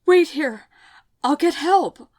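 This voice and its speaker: A woman's voice: high-pitched, animated, slow pace, darkish tone, pitched above the performer's own voice. A woman doing a desperate young knight girl crying out. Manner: desperate, cries out. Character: young female knight, devoted and grieving.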